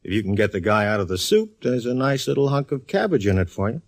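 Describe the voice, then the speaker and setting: An actor playing a dry, easygoing male police inspector in a 1940s radio drama, bantering in a deadpan way. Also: on the low side, fast, no echo.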